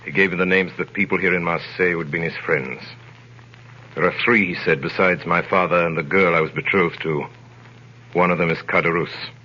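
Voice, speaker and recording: An actor playing a grave, mysterious man near forty in a 1930s radio drama, speaking in measured, formal, probing tones. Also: low voice, fairly even intonation, pushed and bright. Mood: solemn, probing. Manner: measured, solemn, formal questioning. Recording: dull old 1930s radio broadcast recording.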